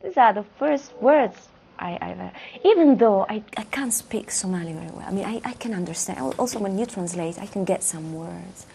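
Gravelly-voiced woman in a studio interview. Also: medium pitch, lively intonation, moderate pace, few pauses, mellow, slight background noise. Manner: thoughtful, repeats words while searching for phrasing. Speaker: musician guest. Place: dark television studio, seated.

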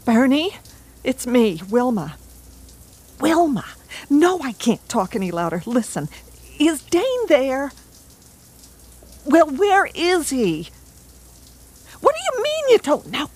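High-voiced, wary gangster's moll. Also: female voice, huge pitch swings, slow, long pauses, a little mellow, full character voice. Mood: demanding, tense. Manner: dramatic, demanding. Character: wary, tough gangster's girlfriend, sharp-tongued. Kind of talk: radio drama acting.

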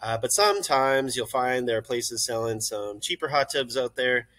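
A man's voice: mid-range voice, normal speed. A young man who runs a hot tub shop, talking casually at his computer through a screen-share tutorial with lots of "uh" fillers. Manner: relaxed and friendly, many "uh" fillers. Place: indoors, recording at a computer.

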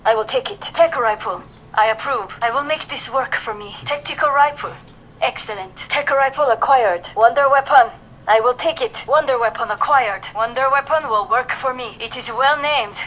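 An actress playing a tough, confident special forces soldier with a Korean accent, in clipped, terse video game combat lines. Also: female voice, fairly high pitch, fairly bright tone, a little hiss or hum, close to an everyday voice, low-quality recording. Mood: cool, confident. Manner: clipped, terse military delivery.

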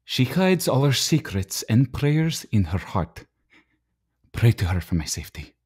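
Casting: protective immigrant father, anguished and solemn, mid-pitched voice, radio play. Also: big pitch swings, measured pace, a little mellow, slightly rough. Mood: prayerful, sorrowful. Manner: earnest, solemn, imploring. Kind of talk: radio drama acting.

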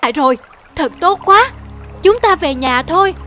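A woman's voice: very high-pitched, lively intonation, moderate pace, over music, voice pushed up. A woman voicing a humble, devoted young husband in a cartoon fairy tale, earnest and plaintive. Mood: emotional.

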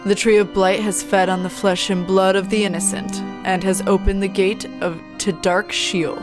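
A sinister male bird sorcerer played by a woman, pitched down from her own voice. He declaims in a grandiose, ominous way, a visual novel villain with music behind. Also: flat delivery, moderate pace, close to an everyday voice. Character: sinister male partridge dark sorcerer, coolly menacing villain. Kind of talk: visual novel character voicing.

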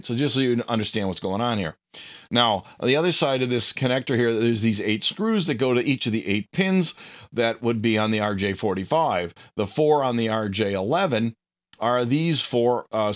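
A middle-aged man giving a casual, hands-on tutorial, rambling a little with informal asides. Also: mid-range voice. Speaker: presenter of a hobby electronics tutorial.

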